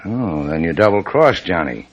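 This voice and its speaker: Deep-voiced, wry American tough guy. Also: lively intonation, moderate pace, highs cut off. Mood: wry, suspicious. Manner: laconic, sardonic. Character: tough, wry, wounded boat skipper. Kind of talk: 1940s-50s American radio drama acting.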